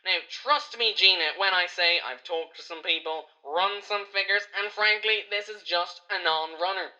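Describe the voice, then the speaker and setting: Woman as a bright-voiced, awkward Irish son-in-law. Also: lowish voice, moderate pace. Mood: businesslike, flustered. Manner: hesitant, rambling, nervously chuckling corporate waffle. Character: awkward, patronising middle-class son-in-law, an office commuter. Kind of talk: radio comedy voicemail monologue. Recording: dull, lo-fi voicemail sound.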